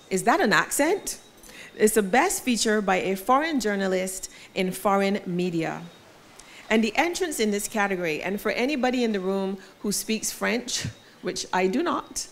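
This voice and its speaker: A woman's voice: mid-range voice, gravelly, slight background noise. Woman emceeing an awards evening. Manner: polished, self-deprecating asides. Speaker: awards ceremony host. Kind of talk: formal emcee announcements. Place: event venue.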